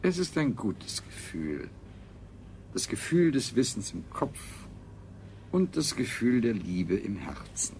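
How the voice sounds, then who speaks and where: An actor playing a self-satisfied male scientist in a 1970s radio drama, speaking in measured, sententious phrases. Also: mid-range voice, very animated, a little mellow. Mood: proud, measured. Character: self-satisfied, high-minded male scientist and family man. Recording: faintly noisy 1970s radio recording.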